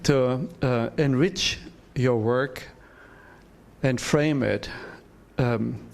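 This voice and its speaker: Measured male professor giving feedback. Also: medium pitch, creaky. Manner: measured, direct, advising. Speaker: professor, discussant. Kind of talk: academic critique at a conference.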